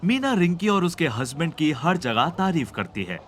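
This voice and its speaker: A man's voice: a little high, big pitch swings, normal speed. A man reading scripted narration for a cartoon story. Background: background music.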